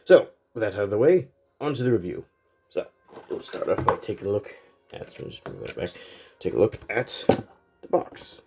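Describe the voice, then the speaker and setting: A man's voice: lowish voice, moderate pace, creaky, long pauses, very noisy. A man casually reviewing a toy set, sounding congested and a little nasal from a cold, rambling with plenty of fillers. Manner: rambling, relaxed, lots of fillers.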